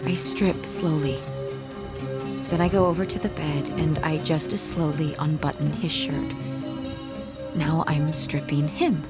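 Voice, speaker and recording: An actress playing a troubled, earnest young woman artist in an audio drama, halting and intense as she speaks. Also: sits low, fairly even intonation, over loud music. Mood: haunted. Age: young adult.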